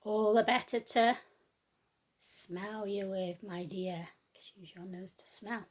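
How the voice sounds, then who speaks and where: A woman voicing a sly, hungry male wolf in a children's storybook reading, drawing out his words slowly and lowering her breathy voice. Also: fairly even intonation.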